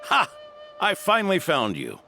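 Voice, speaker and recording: A man's voice: sits high, wildly swooping pitch, moderate pace, exaggerated voice. An actor voicing a kindly, protective old grandfather knight in a video game, reassuring and grandfatherly. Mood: kindly scolding. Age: older. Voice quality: gravelly. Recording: music under the voice.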